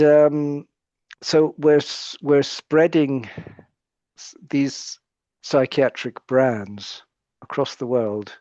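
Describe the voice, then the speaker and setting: A man's voice: mid-range voice, a little mellow, no room sound, muffled recording. A British man speaking as a psychiatrist guest in an interview conversation, explaining his points in a thoughtful way and often restarting his phrases.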